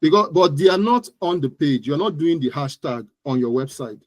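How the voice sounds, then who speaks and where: A man's voice: on the high side, lively intonation, normal speed, dry recording. Nigerian man explaining with emphatic repetition. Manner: emphatic, repeats words and phrases. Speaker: guest digital marketing expert. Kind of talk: interview answers, explaining.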